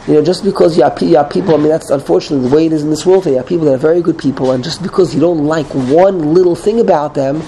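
Man teacher with a cold, mellow voice, slightly raised pitch, casual digressive class, faint room hiss. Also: racing, hardly any pauses, low-quality recording. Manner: digressive, restarts phrases, mixes in Hebrew terms. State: has a cold.

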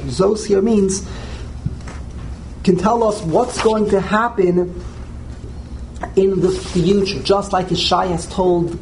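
A man's voice: high-pitched, vocal fry, a little mellow, a little hiss or hum, poor audio. A man teaching a Torah class, explaining in an emphatic and repetitive way and often restarting his phrases.

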